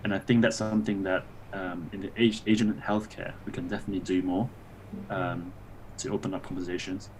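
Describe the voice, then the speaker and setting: A man born in Malaysia, a doctor guest on a podcast, explaining things in a measured way. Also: sits low, little pitch movement, a little mellow, slight background noise, poor audio. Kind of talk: casual conversational interview.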